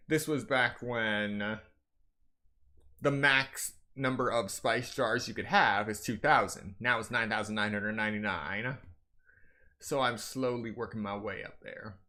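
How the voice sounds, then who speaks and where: A man giving live game stream commentary in a deliberate, halting way. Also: mid-range voice, pushed and bright. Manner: deliberate, halting phrasing with frequent throat clearing. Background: faint game music.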